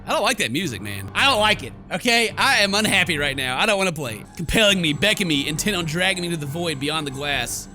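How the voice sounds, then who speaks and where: A theatrical, excitable man streaming a horror game, switching between dramatic read-aloud and jokey casual commentary. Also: a little high, quick pace, hardly any pauses. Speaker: gaming streamer. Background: game music.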